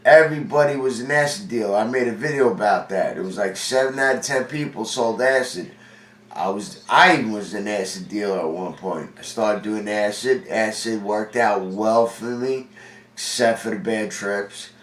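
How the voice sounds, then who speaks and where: A man's voice: on the low side, normal speed, fairly bright tone. A forty-year-old man from Long Island, congested, telling his own story in a rambling, profane, streetwise way. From Long Island, New York. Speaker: vlogger. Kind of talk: casual storytelling monologue. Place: indoor room with a window. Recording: roomy, distant from mic.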